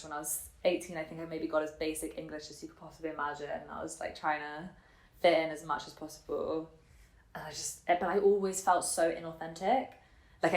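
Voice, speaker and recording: Low-voiced young woman, roomy sound. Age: 20s. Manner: reflective, halting, thinks aloud with frequent "like". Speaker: interviewee telling her family and life story. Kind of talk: casual personal storytelling. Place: indoor room in Germany. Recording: roomy echo on the voice.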